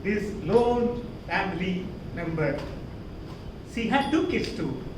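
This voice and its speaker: A man with an Indian accent giving a theatrical storytelling speech, emphatic and dramatic, with deliberate pauses. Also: big pitch swings. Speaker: contest speaker. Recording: roomy echo, distant mic, clear rumbling noise.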